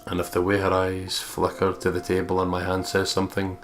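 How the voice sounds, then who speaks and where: A man's voice: low voice, monotone, brisk. A man doing a let's play, reading a visual novel's narration aloud and chatting casually about it. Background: game music.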